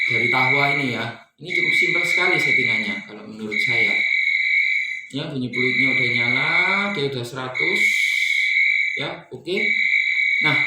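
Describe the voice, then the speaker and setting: A man with an Indonesian accent explaining a product setup step by step in a casual tutorial, often checking in as he goes. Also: mid-range voice. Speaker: installer demonstrating a product setup. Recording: echoey room, distant mic, faint noise.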